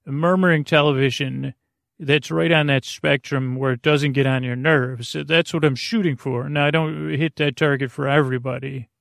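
A middle-aged American man with a creaky voice hosts a bedtime podcast in a meandering, halting, soothing monologue, easily sidetracked. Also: mid-range voice, dry recording, clean studio sound.

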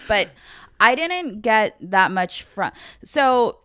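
A woman's voice: a little high, big pitch swings, takes it slowly, sharp tone, clean tone, no room sound. A woman with an American accent chatting informally as a podcast co-host, with a loose, colloquial way of talking. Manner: informal, peppers speech with "like". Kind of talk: casual chat.

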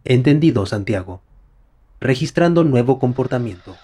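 A man's voice: medium pitch, animated, normal speed, mellow, slightly rough. A man playing a polite, literal-minded smart-home assistant in an audio drama, speaking in formal, procedural announcements. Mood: neutral, procedural.

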